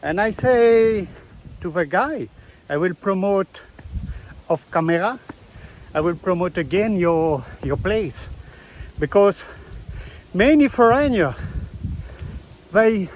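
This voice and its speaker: A man from Belgium with a Belgian accent, talking to camera in a casual travel vlog, eager and chatty in halting non-native English, outdoors on rural hills. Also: high-pitched, animated, slight background noise. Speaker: travel vlogger.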